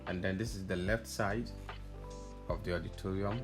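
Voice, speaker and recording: A man's voice: deep voice, steady tone, moderate pace. A man giving a casual, hesitant voice-over walkthrough as an audio installer, thinking aloud as he explains. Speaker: audio installer narrating own project video. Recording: music playing behind the voice. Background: background music.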